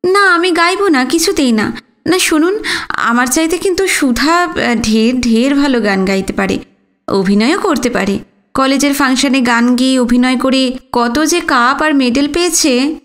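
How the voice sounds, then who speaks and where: Woman reader as teasing big sister, young, playful, easily flustered, slow storybook dialogue. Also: sits high, fairly bright tone. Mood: flustered protesting. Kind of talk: audiobook character dialogue.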